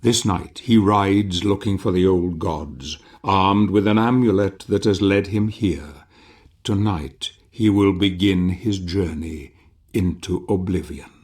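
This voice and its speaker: Deep, slow, brooding dark fantasy narrator. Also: male voice. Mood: ominous, solemn. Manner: solemn, deliberate, portentous. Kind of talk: dramatic scripted game narration.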